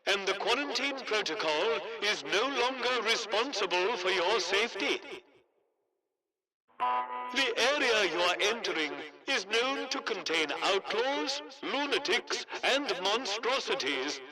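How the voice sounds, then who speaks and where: A man playing an authoritarian military announcer in a video game, formal, clipped and politely menacing over a village loudspeaker. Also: high-pitched, poor audio. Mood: grim warning. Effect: village loudspeaker, radio-like PA. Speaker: public address announcer. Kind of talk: scripted loudspeaker propaganda announcements.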